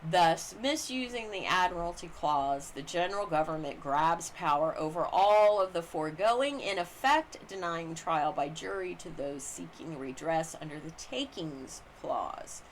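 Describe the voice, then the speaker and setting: Low-pitched woman reading aloud, noisy recording. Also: animated, a little slow, hardly any pauses. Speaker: reader of a book on constitutional law. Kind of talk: scripted narration, reading aloud. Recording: clearly noisy amateur recording.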